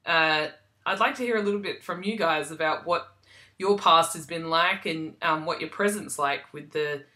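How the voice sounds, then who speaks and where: A woman's voice: low-pitched, normal speed, bright, forceful tone. A young woman from South Australia with a general Australian accent, chatting casually to camera in a loose way, with many ums and restarts. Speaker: vlogger.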